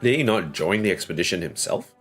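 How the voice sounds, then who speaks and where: Very deep-voiced man streaming casually. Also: big pitch swings, brisk, fairly bright tone, soft background music. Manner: hesitant, musing aloud. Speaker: game livestreamer. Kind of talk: casual livestream commentary.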